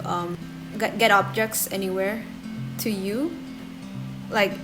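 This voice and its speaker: A woman's voice: mid-range voice, rough recording. A young woman from Indonesia, whose first language is Indonesian, chatting casually through a Q&A voice-over with a giggly, self-deprecating manner. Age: young adult. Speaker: artist vlogger. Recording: music playing behind. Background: soft guitar music.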